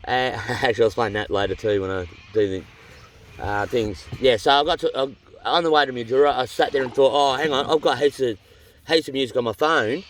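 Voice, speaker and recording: A man's voice: medium pitch, a little fast, a little hiss or hum. Worn-out Australian man rambling by a river. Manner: chatty and rambling, with frequent restarts and slang. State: worn out, with a runny nose. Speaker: vlogger on a long canoe trip. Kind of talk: rambling casual vlog chat. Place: outdoors by a river among rocky cliffs. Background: birdsong in the bush.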